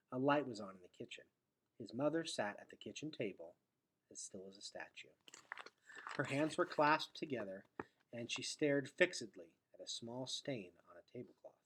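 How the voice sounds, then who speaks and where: A man reading a storybook aloud expressively, with dramatic delivery that drops into hushed, breathy tones. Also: medium pitch, low-quality recording. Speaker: teacher reading aloud to students.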